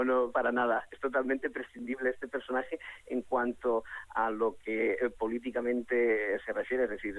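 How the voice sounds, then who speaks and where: A young adult man from Catalonia, answering interview questions in an animated, joking way, repeating himself and restarting his sentences. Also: medium pitch, dry recording, low-quality recording. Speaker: guest, comedian behind a satirical superhero character. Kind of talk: casual interview talk.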